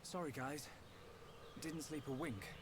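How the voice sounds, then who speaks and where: An actor voicing an earnest young British sword-wielding hero, grieving and determined, in a video game cutscene. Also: male voice, a little high, measured pace, background noise, lightly acted. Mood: resolute. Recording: loud game music behind the voice.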